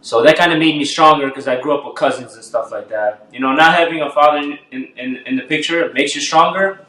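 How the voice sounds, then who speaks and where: Man in his twenties, from Albania, relaxed storyteller, roomy sound. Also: on the high side, fairly quick. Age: 20s. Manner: laid-back storytelling with slang. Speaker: guest artist. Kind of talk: casual podcast chat.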